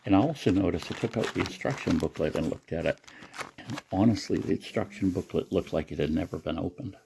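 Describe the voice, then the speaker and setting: A man's voice: low voice, fairly quick, vocal fry, a little mellow, slight background noise, poor audio. A man giving a casual, unscripted product demonstration in a rambling, matter-of-fact way. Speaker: presenter showing a secondhand gadget.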